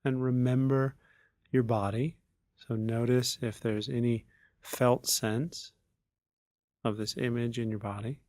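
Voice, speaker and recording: A man leading a guided meditation as a teacher, speaking in unhurried, measured phrases with long pauses. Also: mid-range voice, flat delivery, darkish tone, no room sound, studio quality.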